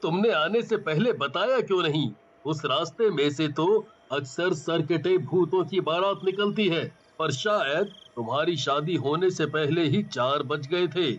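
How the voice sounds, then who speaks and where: A man's voice: a little high, slow, dull audio. A man voicing a young man from a group of friends in a horror cartoon. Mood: explaining.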